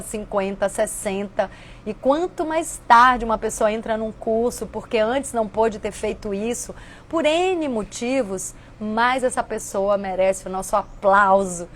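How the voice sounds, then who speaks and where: A woman's voice: medium pitch, a little hiss or hum. Earnest woman in her fifties. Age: 50s. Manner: warm, earnest, conversational with frequent "né". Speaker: host answering viewer questions. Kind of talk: casual reflective talk.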